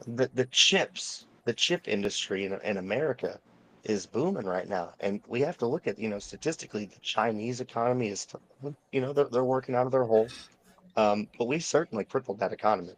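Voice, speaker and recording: A man's voice: lowish voice, animated, a little fast, a bit bright, rough recording. Male co-host for casual conspiracy chat, insistent, rambling and foul-mouthed. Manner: profane, insistent, repeats himself. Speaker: talk show co-host.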